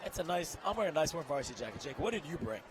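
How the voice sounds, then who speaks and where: A young man with a hoarse, strained voice doing excitable live play-by-play commentary outdoors at a football game. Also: fairly high pitch, rapid-fire. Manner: excitable, shouts on big plays. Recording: loud outdoor stadium crowd noise.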